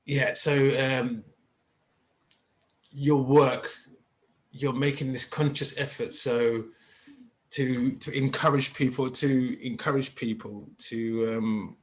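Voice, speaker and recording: A man hosting a spiritual conversation show, asking questions haltingly with many fillers and restarts. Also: mid-range voice, steady tone. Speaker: podcast host. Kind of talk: interview hosting.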